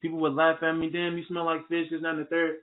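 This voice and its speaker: A man's voice: on the high side, completely flat delivery, quick pace. A young man talking as a podcast guest, laid back and casual with a lot of "like". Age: young adult. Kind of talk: casual interview chat. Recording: tinny phone-like call audio.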